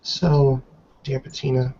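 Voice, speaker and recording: A man voicing a stern, composed elderly matriarch in a tabletop roleplay, speaking in a calm, formal, measured way. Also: mid-range voice. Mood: cool interrogation. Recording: dull, noisy online call audio.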